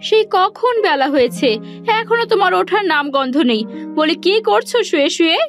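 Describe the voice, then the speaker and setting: A woman's voice: very high voice, lively intonation, fairly quick, soft background music. A woman playing a foolish, earnest young village wife in a cartoon, asking things in a naive, literal-minded way. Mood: earnest, chiding. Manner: naive, wide-eyed questioning. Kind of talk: cartoon voice acting.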